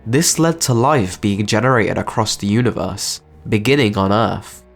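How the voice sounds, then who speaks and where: Male narrator, lowish voice, scripted dramatic storytelling, soft music behind. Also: normal speed.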